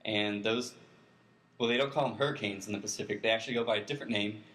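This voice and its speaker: Lowish-voiced man explaining informally. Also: fairly even intonation, quick pace, a bit bright. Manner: plain-spoken, explains step by step. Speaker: weather expert guest. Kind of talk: informal explanatory interview answers.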